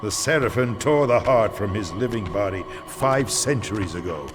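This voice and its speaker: An actor playing an ancient, weary vampire swordsmith in a video game, solemn and portentous in delivery. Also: male voice, fairly low pitch, animated, normal speed, lightly acted. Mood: grave, solemn. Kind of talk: dramatic video game cutscene acting. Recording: music behind the voice.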